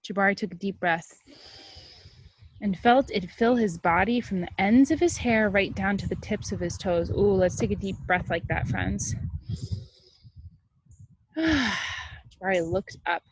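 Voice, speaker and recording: A woman teacher doing an animated, expressive read-aloud for young children, with casual asides. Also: medium pitch, a little slow, pauses often, breathy edge, poor audio. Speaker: kindergarten teacher.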